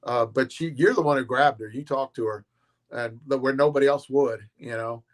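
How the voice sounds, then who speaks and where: A man co-hosting a casual live-stream chat, telling stories in an animated way and acting out what others said. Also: mid-range voice, normal speed. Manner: animated storyteller who acts out quotes.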